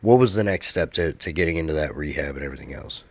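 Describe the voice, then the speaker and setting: A man's voice: extremely low pitch, lively intonation, fast, no echo. A man hosting a podcast, asking supportive follow-up questions. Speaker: podcast host. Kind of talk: casual interview.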